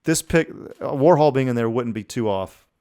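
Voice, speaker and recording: Man, clear resonant mid-pitched voice, podcast co-host, casual banter, slangy, laid-back. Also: lively intonation, moderate pace, warm tone.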